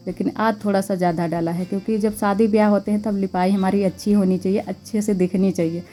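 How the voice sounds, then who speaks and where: Hoarse-throated woman, mellow chatty vlog talk. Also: mid-range voice. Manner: chatty, homely, thanks viewers. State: sore throat, slightly hoarse. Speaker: vlogger. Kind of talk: casual vlog narration. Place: village home, household chores. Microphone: clip-on mic.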